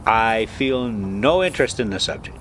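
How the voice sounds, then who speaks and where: A man reads as an eager, single-minded scholarly uncle from an adventure storybook. He declares things emphatically, his pitch swinging in a lively way, with faint noise behind him. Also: moderate pace. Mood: dismissive.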